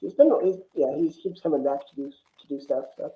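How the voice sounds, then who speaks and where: Lowish-voiced woman on a thin, phone-like line. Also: brisk. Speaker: podcast panellist. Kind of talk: casual film chat. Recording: roomy, phone-like narrow sound.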